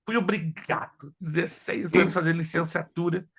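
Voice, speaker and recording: A man teaching a theology class as a pastor, whose first languages are Hebrew and Spanish, lecturing in an animated way and joking with his students. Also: fairly high pitch, normal speed, slightly rough. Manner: animated, jokes with students, asks if they follow.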